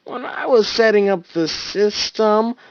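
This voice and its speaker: A man voicing an excitable, childlike young soldier in a fan story reading, with a high, eager, rambling delivery. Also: a little slow, smooth, no room sound, dull audio. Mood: sheepish.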